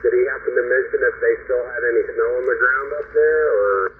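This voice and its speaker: A man from Ohio chatting casually over ham radio, relaxed and rambling with many fillers and restarts. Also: mid-range voice, fairly quick. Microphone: heard over a shortwave radio link. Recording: tinny radio audio with hiss.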